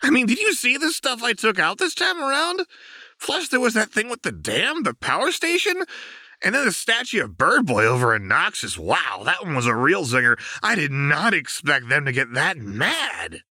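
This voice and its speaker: A man's voice: high voice, normal speed, no room sound, pitched above the performer's own voice, heavily acted. A man voicing a manic young woman prisoner in an audiobook, teasing and crooning with gleefully unhinged taunts. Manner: crooning, teasing, abruptly switching to cool calm. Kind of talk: audiobook character voice.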